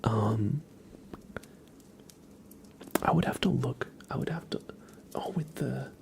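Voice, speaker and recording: A man hosting an ASMR livestream in a hushed, breathy whisper, chatting casually with frequent pauses, close to the microphone. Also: medium pitch, background noise. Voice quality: breathy whisper with creaky voiced patches. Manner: unhurried, hushed, pausing often. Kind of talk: whispered ASMR, casual tarot card reading and chat with viewers.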